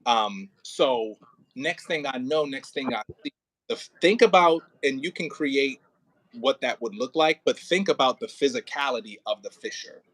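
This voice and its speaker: A man's voice: mid-range voice, expressive, moderate pace, a bit bright, poor audio. A man directing an audio play rehearsal, casually coaching an actor and expressively demonstrating lines. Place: indoors near a window, on a remote call.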